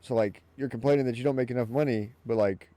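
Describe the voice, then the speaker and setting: A man's voice: mid-range voice, fast talker, dark tone, no room sound. A man in his twenties hosting a podcast, talking informally with lots of "like" and "you know what I mean". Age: 20s. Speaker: podcast host. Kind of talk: casual podcast chat.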